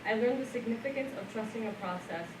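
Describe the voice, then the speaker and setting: A woman's voice: on the high side, fast. A woman in her late teens giving a composed, articulate prepared speech as a student speaker. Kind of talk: prepared speech at a school event. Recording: echoey room, voice from far off, noisy.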